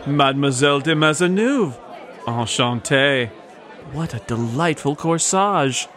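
Suave, flirtatious society gentleman. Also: fairly high pitch, expressive, unhurried, some chatter behind. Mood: teasing, charming. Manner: genteel, teasing. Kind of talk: 1940s radio serial acting.

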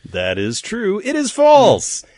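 A man's voice: sits high, huge pitch swings, takes it slowly, darkish tone, no room sound. A male podcast host reads quirky trivia aloud with a dry, teasing lilt. His voice swings up and down a lot as he talks. The sound is close and dry, with no room around it. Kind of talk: playful podcast chat.

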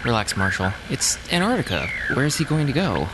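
A man's voice: mid-range voice, sing-song, brisk, natural voice. Guy doing a weary polar station scientist, radio drama, heavy noise roaring behind him. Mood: calm, weary. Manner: dry joking, then frantic mayday cries. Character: male Antarctic research scientist, steady then terrified.

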